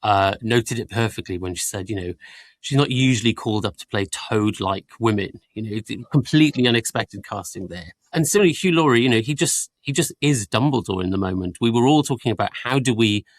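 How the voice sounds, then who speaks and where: Low-voiced man giving interview answers, dry sound. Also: fairly quick, no echo. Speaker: audiobook production team member interviewed as a guest.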